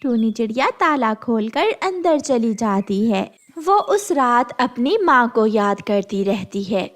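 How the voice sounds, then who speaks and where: A woman's voice: on the high side, sing-song, slow. A woman narrating a children's moral story in a scripted storytelling style.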